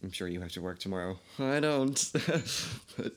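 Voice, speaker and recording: A man's voice: low voice, expressive, moderate pace. A man with an Irish Kildare accent hosting a casual, chatty conversation, laughing often and swearing freely. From Ireland. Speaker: podcast host. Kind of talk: casual chat.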